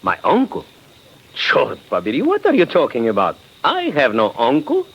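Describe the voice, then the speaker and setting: An actor playing a chatty, condescending government official of Tsarist Russia in 1940s radio drama, talkative and given to exclamation. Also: male voice, medium pitch, wildly swooping pitch, normal speed, a bit bright, breathy edge, heavily acted. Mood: baffled, scornful. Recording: dull, noisy old 1940s radio recording.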